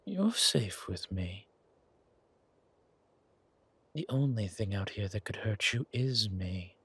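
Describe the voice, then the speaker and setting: A man playing an ageless, predatory vampire in an intimate horror ASMR roleplay, soothing and menacing at once, in a hushed, breathy voice. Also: on the low side, very animated, full character voice. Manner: slow, deliberate, hushed, soothing yet menacing.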